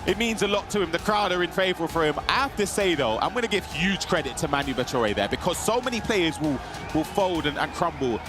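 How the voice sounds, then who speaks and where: A man co-commentating an esports football match with energetic, exclaiming delivery. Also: a little high, fairly quick, over music. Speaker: esports co-caster. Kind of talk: sports commentary.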